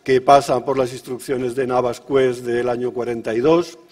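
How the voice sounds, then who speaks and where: Castilian Spanish man giving a measured welcome. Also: mid-range voice, steady tone, a little mellow. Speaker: museum official introducing an event. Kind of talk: welcome speech at a conference.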